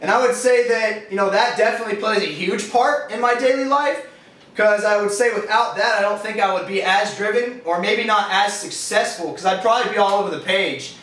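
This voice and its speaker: A man's voice: high-pitched, fairly quick, a bit bright. A man in his twenties with a Californian accent, answering interview questions in a casual, rambling way with slangy phrasing. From California, USA. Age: 20s. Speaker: interviewee, fitness business owner. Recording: echoey, distant microphone.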